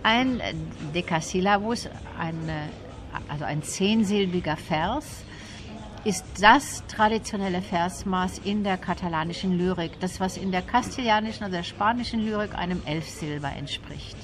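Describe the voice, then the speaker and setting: A woman's voice: lowish voice, moderate pace. A woman guest in a radio interview, explaining things in a thoughtful, detailed way. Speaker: interview guest, literary event organiser.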